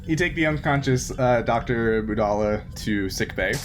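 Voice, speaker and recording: A man's voice: medium pitch, moderate pace. A man running a tabletop game, improvising narration and bantering in a jokey, chuckling way. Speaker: game master. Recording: noisy room, steady background noise.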